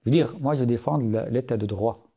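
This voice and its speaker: Syrian-born guy w/ arabic accent, low gravelly voice, kinda husky, lots of euh and restarts. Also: a little fast, mellow. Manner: earnest, frequent hesitations and restarts. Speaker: guest author. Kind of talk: podcast interview.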